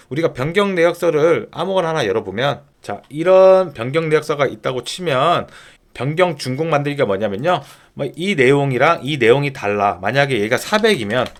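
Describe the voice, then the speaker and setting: A man giving an informal screen-share software tutorial, going step by step and often posing questions that he then answers himself. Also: mid-range voice.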